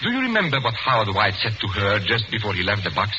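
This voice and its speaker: An actor playing a shrewd, courteous detective who speaks in a deliberate, formal, accusing way, in 1940s radio style. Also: male voice, on the low side, huge pitch swings. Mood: explaining. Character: shrewd, courteous male detective exposing a murderer. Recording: noisy, muffled 1940s radio recording.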